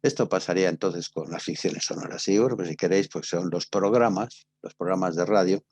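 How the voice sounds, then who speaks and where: An older man with a Castilian Spanish accent speaks in a low-pitched voice with very little room sound. He lectures like a teacher, halting between short phrases as he explains things. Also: a little mellow, dry recording. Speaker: teacher giving a radio theatre workshop. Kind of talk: introductory lecture.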